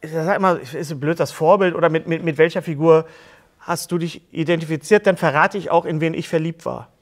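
Middle-aged man, interviewer, informal, halting phrasing, casual conversational delivery. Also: fairly high pitch, fairly even intonation. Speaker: talk show host, interviewer. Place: small indoor talk-show set, seated at a table.

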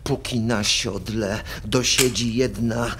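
An actor playing a proud, hot-headed middle-aged count, declaiming verse in a volatile theatrical way for a radio play. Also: male voice, lowish voice, fairly even intonation, slow, crowd noise. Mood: menacing.

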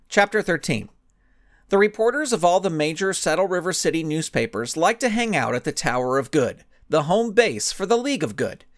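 A man reading his own children's story aloud in a playful, animated storytelling manner. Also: high voice. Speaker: author and narrator. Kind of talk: storybook narration.

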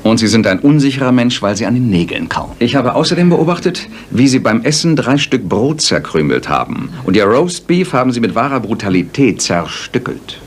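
A man plays an eccentric master detective in a German radio drama, with a mid-pitched, very lively voice. He is precise, self-assured and coolly superior, over faint background noise. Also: brisk, few pauses, lightly acted. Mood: cutting, analytical. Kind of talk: German radio play acting.

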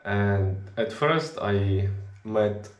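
A young man from the Czech Republic, a native Czech speaker, chatting in relaxed non-native English as a vlogger, recorded on a camera at arm's length in a small kitchen. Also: fairly low pitch, extremely slow, roomy sound. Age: young adult. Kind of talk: casual chat.

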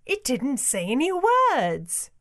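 Jackdaw, small storybook bird, very high voice, drawn-out words, excitable, incredulous. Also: male voice, no room sound, studio quality, full character voice. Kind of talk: storybook character voice.

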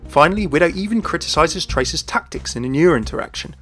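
A man's voice: a little high, expressive, fast, a bit bright, faint music behind. A man hosting a video, reading scripted commentary on a video game. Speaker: video host.

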